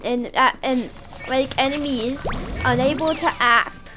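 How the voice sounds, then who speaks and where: A young co-host playing a game and chatting casually, with brief, halting answers and explanations. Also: high voice, over music. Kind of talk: casual gameplay chat.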